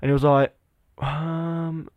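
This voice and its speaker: A man in his late teens chatting informally, telling stories in a halting, repetitive way. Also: a little high, level tone, no echo, highs cut off. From Lithuania, living in England. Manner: informal, halting, repeats himself. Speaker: podcast guest, meme page owner. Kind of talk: casual chat, informal storytelling.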